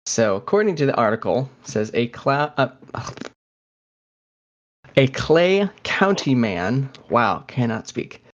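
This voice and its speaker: A man's voice: mid-range voice, very animated, takes it slowly, lo-fi sound. A man co-hosting a podcast in casual conversation, talking in a hesitant, conversational way. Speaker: podcast co-host.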